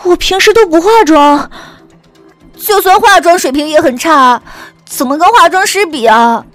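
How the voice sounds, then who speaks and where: A woman's voice: very high-pitched, lively intonation, unhurried, fairly bright tone, soft background music, pitched above the performer's own voice, heavily acted. A woman voicing a worried young woman at a wedding in an audiobook drama.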